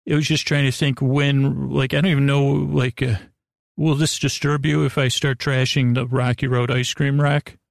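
Creaky-voiced man rambling through a bedtime podcast. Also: mid-range voice, little pitch movement, no room sound, clean studio sound. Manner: meandering, halting, stumbles over words, self-interrupting; soothing, lulling. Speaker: podcast host.

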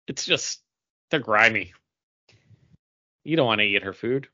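A man's voice: on the low side, lively intonation, a little slow, sharp tone, highs cut off. A man co-hosting a podcast in casual chat with friends, rambling and correcting himself as he goes. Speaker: podcast co-host.

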